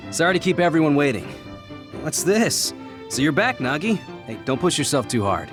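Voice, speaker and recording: A man voicing a calm, considerate squad captain in an anime-style video game, with a measured, authoritative delivery. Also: on the high side, music in the background. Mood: brisk, commanding.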